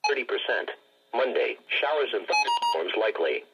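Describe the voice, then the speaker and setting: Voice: mid-range voice, wildly swooping pitch, moderate pace, far from the mic, rough recording. A weather radio announcer reading out a forecast report, heard through a small radio speaker.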